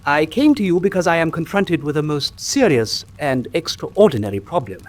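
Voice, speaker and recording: An actor playing an eccentric, bookish young country doctor in a radio drama, eager, formal and pedantic. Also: male voice, fairly high pitch, animated, normal speed, lightly acted. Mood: earnest, pedantic. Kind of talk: classic British radio drama acting.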